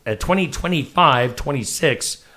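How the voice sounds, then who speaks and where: A man hosting a small local morning show, reading news items and chatting with quick asides. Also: on the high side, animated, sharp tone. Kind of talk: casual chat.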